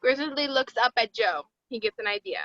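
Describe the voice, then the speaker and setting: A woman's voice: on the high side, fairly quick, a bit bright, dry recording, highs cut off. A woman narrating stage directions at a screenplay table read.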